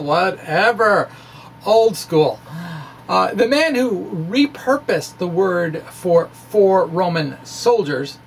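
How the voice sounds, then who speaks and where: A man giving a dramatic, conversational reading as a physics explainer, with wry asides and a halting delivery. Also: on the high side, vocal fry, slight background noise. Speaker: amateur physics presenter.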